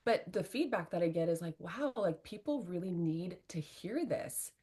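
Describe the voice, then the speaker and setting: Middle-aged woman, low-pitched, relationship coach; earnest, candid interview talk. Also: normal speed, husky. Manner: earnest, animated, fillers like "right?".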